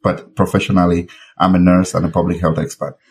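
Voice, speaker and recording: A man's voice: deep voice, little pitch movement, fairly quick, a crowd in the background. A man in his forties from Cameroon, a nurse and public health expert, telling his story earnestly as a podcast guest. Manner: earnest, storytelling with fillers and restarts. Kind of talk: conversational interview.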